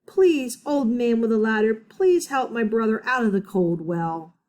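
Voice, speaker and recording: A woman's voice: fairly high pitch, lively intonation, unhurried, a little mellow. A woman reading a storybook aloud, voicing a small boy who pleads urgently and pants for breath. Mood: shouting.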